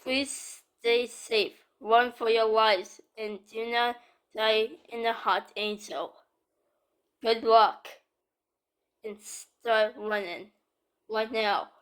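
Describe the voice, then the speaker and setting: A woman reading a scripted emergency alert announcement in a stilted, deliberate manner. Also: a little high, fairly even intonation, fairly bright tone, smooth, low-quality recording.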